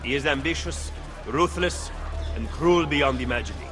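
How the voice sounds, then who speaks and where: An actor playing a shrewd, skeptical Italian political advisor in a game cutscene, with measured, formal, pointed speech and an Italian accent. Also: male voice, medium pitch, close to an everyday voice. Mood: grave. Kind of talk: video game cutscene acting. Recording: game music behind the voice. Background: music.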